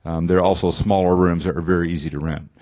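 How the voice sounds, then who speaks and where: A man with a deep, low voice talking on a conference panel. He speaks in a relaxed, conversational way with small restarts, and the sound is dry and close. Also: fast, no room sound. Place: conference venue.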